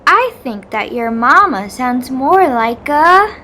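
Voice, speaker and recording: A woman voicing a curious, agreeable young llama from a picture book, with wondering, drawn-out phrasing. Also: high voice, big pitch swings, pushed and bright, exaggerated voice.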